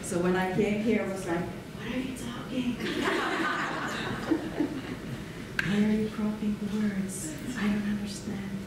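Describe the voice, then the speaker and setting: A middle-aged woman from Finland with a Finnish accent, giving a relaxed, chatty conference lecture with frequent fillers. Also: medium pitch. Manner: relaxed, chatty, laughs often, many fillers. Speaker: guest lecturer. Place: large lecture hall. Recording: echoey hall, far from the mic, room noise.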